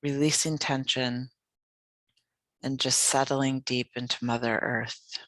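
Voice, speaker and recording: A yoga nidra guide leading a guided meditation in a gentle, soothing, unhurried manner. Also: mid-range voice, steady tone, no echo.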